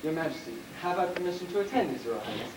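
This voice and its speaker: A man playing a smooth-talking, deferential prince's attendant in an amateur stage comedy, polite and measured. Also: a little high, expressive, close to an everyday voice. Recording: distant, echoey, noisy stage recording.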